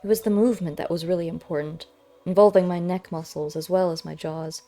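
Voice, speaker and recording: A woman reading a first-person story aloud as an audiobook narrator, measured and expressive. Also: lowish voice. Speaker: audiobook reader. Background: faint music.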